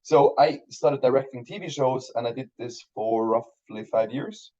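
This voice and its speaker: Mellow-voiced Swiss man, rambling chat. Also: medium pitch, normal speed, lo-fi sound. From Switzerland. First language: Swiss German. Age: 30s. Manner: rambling, many restarts and fillers. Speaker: guest filmmaker. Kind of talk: casual interview chat.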